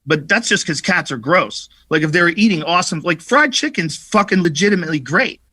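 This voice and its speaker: A man chatting as a comedian guest on a podcast, telling stories in a profane, animated way. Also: high-pitched, brisk, slightly rough, no echo. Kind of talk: casual podcast chat.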